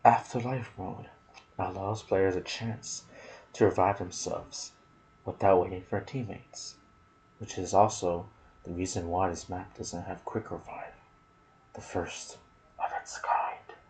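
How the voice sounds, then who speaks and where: A man narrating game lore in ASMR style, soft and breathy, often slipping toward a whisper, musing aloud in casual asides. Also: deep voice, fairly even intonation, slow pace, a little hiss or hum, rough recording. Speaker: gaming video narrator.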